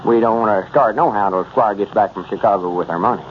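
A man's voice: fairly low pitch, fast talker, close to an everyday voice. A man playing a self-important, bossy country schemer with a rural Southern US accent, acting in 1930s radio comedy style. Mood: musing. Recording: muffled, noisy 1930s radio recording.

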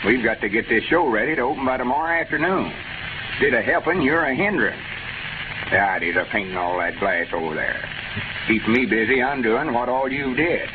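A man plays a small-town storekeeper from the rural South, bossy and short on patience, in a medium pitch. He talks in a folksy, fussy way, in old radio comedy style. The recording is tinny and scratchy, like a 1930s broadcast. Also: lively intonation, fairly quick, lightly acted. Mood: angry, scolding.

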